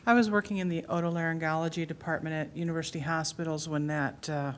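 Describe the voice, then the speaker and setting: A middle-aged woman in an audience, speaking earnestly and with emotion about her memories. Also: fast. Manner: earnest, emotional, low and even. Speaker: audience member. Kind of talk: personal reflection at a public talk. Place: public library meeting room.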